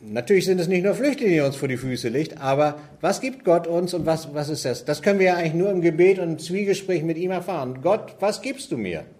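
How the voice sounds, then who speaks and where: A man's voice: a little high, dark tone. A man speaking in a church setting, earnest and conversational, often asking rhetorical questions and adding "nicht?". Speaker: church team leader. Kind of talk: church talk.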